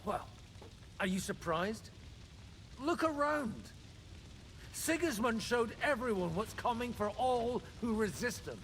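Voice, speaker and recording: An actor playing an earnest, cautious medieval lord in a video game, speaking expressively. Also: male voice, very high-pitched, lively intonation, takes it slowly, long pauses, a bit bright, a little hiss or hum, full character voice. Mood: cautioning. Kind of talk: video game drama acting.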